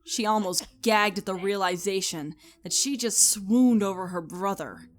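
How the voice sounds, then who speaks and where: A woman's voice: medium pitch, expressive, measured pace. A woman reading scripted story narration expressively, as the narrator of a comic tale.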